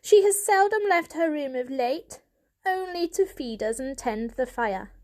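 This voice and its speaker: A woman's voice: high voice, animated, a little slow, darkish tone, voice pushed up. A woman voicing an elderly male cat, a worried family patriarch who speaks with grave, pleading insistence in an audiobook. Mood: grave, foreboding.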